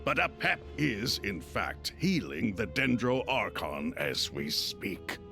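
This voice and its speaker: An actor playing a haughty, declamatory lord of a mythic council in a video game. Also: male voice, lowish voice, very animated, slow, vocal fry, music in the background, heavily acted. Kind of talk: dramatic video game voice acting.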